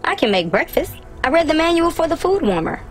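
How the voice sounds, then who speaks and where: An actress voicing an eager, chatty young child who is anxious to please, in a video game. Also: female voice, sits high, sing-song, a little fast, soft background music. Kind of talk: video game character acting.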